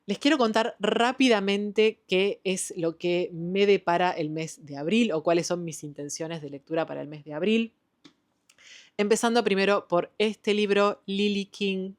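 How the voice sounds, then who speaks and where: A woman's voice: mid-range voice, measured pace. A woman with an Argentine Spanish accent, chatting enthusiastically about the books she has been reading, with frequent "eh" and "em" fillers. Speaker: book vlogger. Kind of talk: casual book wrap-up chat.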